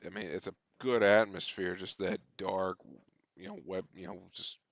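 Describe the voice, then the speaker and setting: A man with a low voice and a dry, close sound hosts a reaction video. He talks off the cuff, blunt and casual, swearing freely. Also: fairly even intonation, moderate pace, dry recording, muffled recording.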